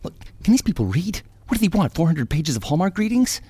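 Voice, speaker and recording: An actor playing a bitter, sarcastic novelist stuck in an office job, rambling and melodramatic in a satirical audio drama. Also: male voice, lowish voice, big pitch swings, brisk, vocal fry, dry recording. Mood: desperate, indignant.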